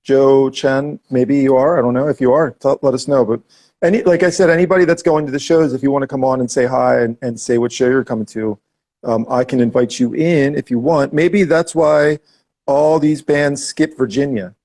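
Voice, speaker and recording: A man's voice: mid-range voice, fairly even intonation, moderate pace, a little mellow. A man hosting a casual podcast chat, joking around with lots of restarts and goofy asides. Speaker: podcast host.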